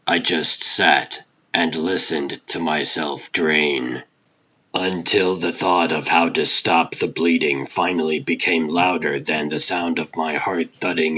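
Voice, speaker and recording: A grim, world-weary vigilante detective, acted by a man, narrates a noir comic drama in a very low voice. His delivery is slow and terse, with a forceful, husky edge. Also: lo-fi sound, heavily acted. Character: brooding, world-weary older male vigilante detective, presumed dead. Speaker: narrator and voice actor of a comic dub. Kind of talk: dramatic first-person noir scripted narration.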